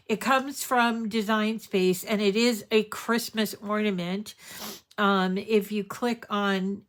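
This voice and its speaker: A woman hosting a crafting tutorial, talking in a chatty, rambling way while stuffed up with a cold. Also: mid-range voice. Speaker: crafting show host.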